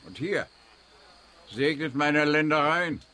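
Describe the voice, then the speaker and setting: A self-important rich man calls out demands in a radio play. His voice is fairly high, bright and forceful. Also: takes it slowly. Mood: demanding. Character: eager rich male donor demanding blessings for his property. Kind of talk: radio drama acting.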